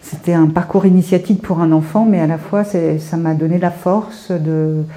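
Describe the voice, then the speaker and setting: A woman in her fifties speaks with a very dark, mellow tone and a fairly low pitch. She feels her way through her recollections, pausing and searching for words. The delivery is informal and reflective, like an artist guiding a visitor around her work. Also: fairly even intonation. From France, Paris suburbs. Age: 50s. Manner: hesitant, halting, reflective storytelling. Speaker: visual artist being interviewed. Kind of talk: informal guided-tour interview.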